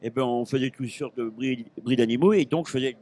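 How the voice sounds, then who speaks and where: A man's voice: mid-range voice, brisk, a little mellow. Rambling norman guy in his fifties, lots of restarts, handheld mic at a fair. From Normandy, France. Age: 50s. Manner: rambling, hesitant, full of restarts and fillers. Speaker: interview guest, pig-call champion. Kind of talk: casual chat. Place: busy agricultural fair stand.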